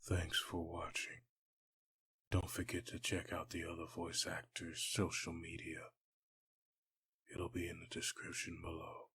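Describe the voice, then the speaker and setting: A man with a very raspy voice reading a scripted video outro as channel creator. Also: low voice, monotone, measured pace, long pauses, fairly bright tone.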